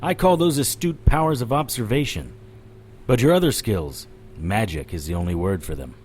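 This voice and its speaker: An actor playing a tough, wry investigator who narrates his own adventures in a deadpan, hardboiled audio drama style. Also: fairly low pitch, moderate pace, slight background noise, lightly acted.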